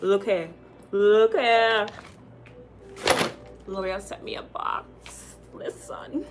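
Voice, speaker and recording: A woman's voice: mid-range voice, extremely slow, very noisy. A young adult woman with a Trinidadian accent, chatting casually on a livestream, reading viewer comments and repeating her phrases for emphasis. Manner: chatty and lively, repeating phrases, calling viewers girl and sis. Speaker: makeup artist hosting a livestream.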